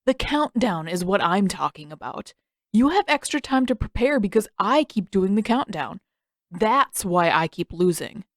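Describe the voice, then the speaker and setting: A woman's voice: mid-range voice, lively intonation, normal speed, no echo, studio quality, lightly acted. A woman voicing a cocky, competitive teenage boy in a storybook, boastful and griping. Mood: indignant, boastful.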